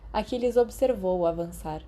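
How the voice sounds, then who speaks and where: A woman's voice: mid-range voice, normal speed, mellow. A woman with a Brazilian accent reading a story aloud as scripted audiobook narration. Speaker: podfic narrator.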